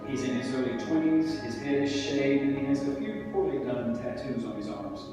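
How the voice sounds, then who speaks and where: A man's voice: mid-range voice, normal speed, distant mic. Man narrating in a very echoey hall. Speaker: stage direction reader. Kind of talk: scripted narration at a table reading. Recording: very echoey hall from afar, music.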